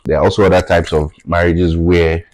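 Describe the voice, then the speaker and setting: A Nigerian man whose first language is Yoruba, a podcast guest, talking in a measured, thoughtful way and often checking in with "right?". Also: sits low, little pitch movement, a little mellow, a little hiss or hum. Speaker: podcast guest, tech founder. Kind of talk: reflective conversational interview.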